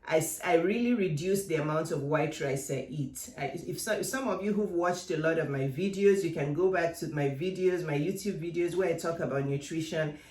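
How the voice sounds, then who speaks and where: A woman's voice: low voice, moderate pace, few pauses, a little mellow. Woman in her fifties, echoey room. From Nigeria. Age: 50s. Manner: direct and encouraging, addresses viewers, repeats key points. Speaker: women's life coach. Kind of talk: motivational vlog talk. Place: home workout room.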